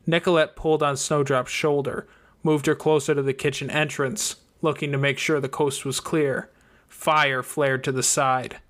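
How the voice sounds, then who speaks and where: A man's voice: mid-range voice, fairly bright tone. A man reading a scripted fiction audiobook as its narrator. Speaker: audiobook reader.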